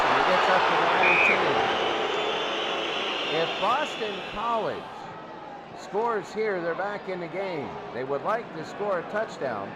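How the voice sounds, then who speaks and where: A man giving excitable live radio play-by-play of football, repeating phrases for emphasis. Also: sits high. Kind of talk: live sports radio commentary. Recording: radio call with music and crowd noise.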